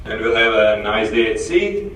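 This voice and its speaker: Guy captain doing a formal cruise announcement over the PA, super echoey and far away, halting but polite. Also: on the low side, sing-song, a bit bright, a little hiss or hum. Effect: public address speaker. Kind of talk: formal public announcement to passengers. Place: indoors on a cruise ship, heard over loudspeakers by a walking passenger. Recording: echoey ship PA from far off, crowd chatter. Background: passengers chattering.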